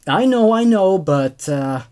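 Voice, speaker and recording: A man's voice: high-pitched, animated, slow pace, dark tone, voice pushed up. A man reading an emphatic, bossy woman teacher. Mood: nervous, careful. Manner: exasperated, emphatic, careful wording. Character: nervous, intimidating female school teacher in charge.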